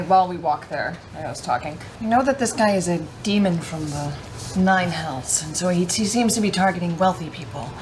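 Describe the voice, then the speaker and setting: A woman's voice: fairly low pitch, noisy background. A woman playing a tabletop game, talking it through casually.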